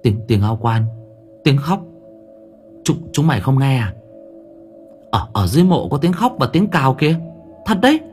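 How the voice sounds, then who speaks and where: A man's voice: on the low side, normal speed, long pauses, faint music behind, close to an everyday voice. A man voicing a frightened young boy in a ghost story, stammering and repeating his words. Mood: frightened, urgent.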